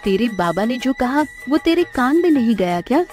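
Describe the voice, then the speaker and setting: A strict village mother, acted by a woman, nags in a fairly high voice. Her manner is scolding, in cartoon-story style. Faint music plays beneath. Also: sing-song, normal speed, a little mellow. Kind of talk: Hindi cartoon story dialogue. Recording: music under the voice.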